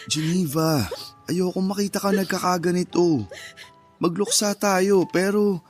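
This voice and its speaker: A man playing a gentle, protective grieving husband in a Filipino radio drama, with a soothing, earnest manner. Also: high voice, steady tone, a little slow, faint music behind. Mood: pleading concern.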